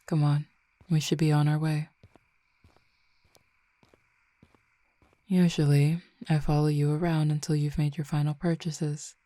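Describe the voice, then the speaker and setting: A woman voicing a commanding, teasing fallen Cupid in an audio roleplay, speaking slowly and intimately with soft whispered stretches. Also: deep voice, flat delivery, no room sound, lightly acted. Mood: coaxing. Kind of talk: audio roleplay addressing the listener.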